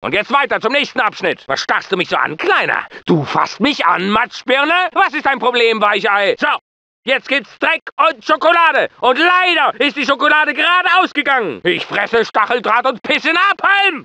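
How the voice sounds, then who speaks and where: An actor playing a gruff, bullying military drill sergeant in a video game, barking commands and insults in clipped orders. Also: male voice, very high voice, sharp tone, no echo, exaggerated voice. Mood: menacing, scornful. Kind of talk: German video game dubbing.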